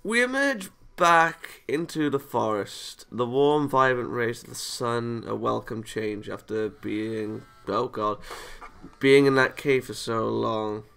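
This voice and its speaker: A man's voice: mid-range voice, takes it slowly, vocal fry, bright, forceful tone, clear, resonant tone. A man reading a visual novel aloud as a let's player, stumbling over lines and reacting playfully with casual asides. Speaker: video game let's player.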